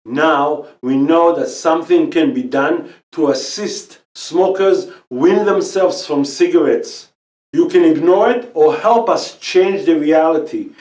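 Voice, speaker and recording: A man in his fifties from Israel, a native Hebrew speaker, giving an earnest scripted pitch about quitting smoking in short phrases. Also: slow, darkish tone, echoey room, distant mic. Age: 50s. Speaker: natural healing expert and quit-smoking coach.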